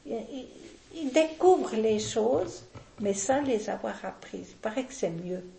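Older woman, very gravelly and mellow, opinionated and deliberate, echoey room. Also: fairly high pitch, animated, a little hiss or hum. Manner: deliberate, opinionated, frequent restarts. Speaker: interviewee. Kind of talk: casual reminiscing interview.

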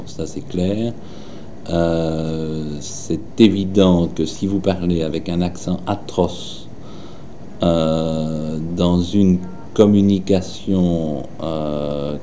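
A man with a Walloon Belgian accent answering interview questions in a hesitant, measured way, with frequent filler pauses. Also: low voice, dark tone, slight background noise. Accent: Walloon Belgian French.

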